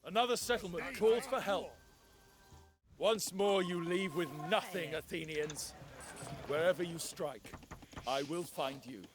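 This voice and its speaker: An actor playing a noble, resolute Spartan commander in a historical video game, speaking in a formal, declamatory way. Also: male voice, high-pitched, a little slow, long pauses. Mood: defiant.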